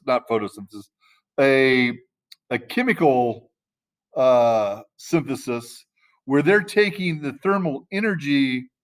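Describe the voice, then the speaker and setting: A man teaching a class in a casual, conversational way, often pausing to search for the right word. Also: medium pitch. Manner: loose and conversational, searching for words mid-sentence.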